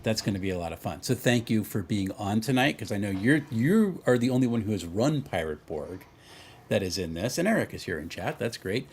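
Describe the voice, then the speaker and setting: A man's voice: on the low side, animated, a little fast, breathy edge. A man hosting a casual livestream chat and introducing his guests. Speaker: host.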